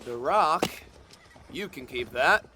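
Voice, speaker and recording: An actor playing a chatty, cocky young fortune seeker in an audio drama, wheedling and stammering when challenged. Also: male voice, medium pitch, expressive, takes it slowly, pushed and bright, a little hiss or hum. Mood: dismissive.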